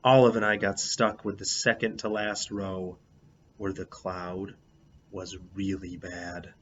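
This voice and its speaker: A man reading a children's storybook aloud as a teacher, in an expressive, animated, emphatic way. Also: sits low, takes it slowly, highs cut off, background noise. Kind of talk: expressive storybook read-aloud in a child narrator's first person.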